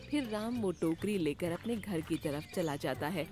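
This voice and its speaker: A woman's voice: fairly low pitch, expressive, a little slow. Woman narrating a story over music. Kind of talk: scripted children's story narration.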